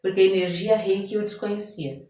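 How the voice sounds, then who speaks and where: A woman's voice: mid-range voice, a little fast. Brazilian woman, echoey and distant. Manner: expressive storytelling, often seeking agreement with tags. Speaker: interview guest, therapist and theater director. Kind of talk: conversational interview answers. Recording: echoey room, distant microphone.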